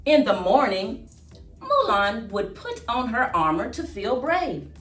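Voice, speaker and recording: A woman reading aloud to children as a storyteller, with an expressive, singsong delivery. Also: on the high side, slow. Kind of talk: read-aloud storytime with rhyming chant. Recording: roomy echo, distant mic, faint music.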